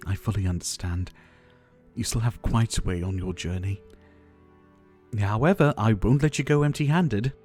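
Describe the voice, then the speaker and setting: Male actor as devoted, ailing pharmacist for ASMR roleplay; lowish register, soft, courteous, wistfully theatrical delivery over background music. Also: moderate pace, close to an everyday voice. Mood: gracious, fond. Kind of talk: ASMR roleplay addressed to the listener.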